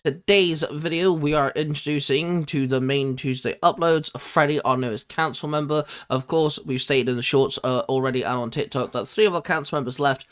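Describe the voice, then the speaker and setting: A man's voice: fairly high pitch, normal speed, few pauses, clean tone. A young man hosting a channel, chatting casually and with enthusiasm like a keen fan. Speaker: channel host. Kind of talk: casual chat and video introduction.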